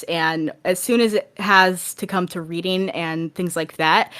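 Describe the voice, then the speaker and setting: A woman guest on a podcast, chatting casually about tabletop games and thinking aloud in a halting way. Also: lowish voice, a bit bright.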